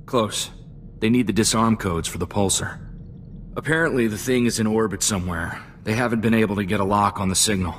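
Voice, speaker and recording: A man voices a loyal young spacefaring sidekick with a lowish voice. He speaks sincerely and plainly, with folksy reflection, as in a video game cutscene, over some background noise. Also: brisk, a bit bright, close to an everyday voice. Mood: earnest.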